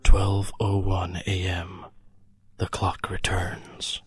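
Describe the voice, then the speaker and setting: A grave horror-play narrator voiced by a man, deep and utterly monotone. He delivers each line slowly and clinically over clear music. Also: no echo, exaggerated voice. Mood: grave, detached. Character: grave, clinical male narrator logging a sleepless night. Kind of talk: scripted horror drama narration. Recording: loud music under the voice.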